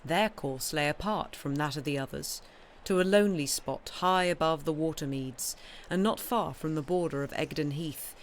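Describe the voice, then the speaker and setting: A woman's voice: sits low, normal speed, slight background noise. A woman reading a classic story aloud as scripted literary narration. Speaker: audiobook reader.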